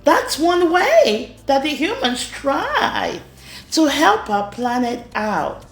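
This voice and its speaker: A woman reading a rhyming children's storybook aloud in an expressive way. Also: sits high, slow. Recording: roomy echo with music underneath. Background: music.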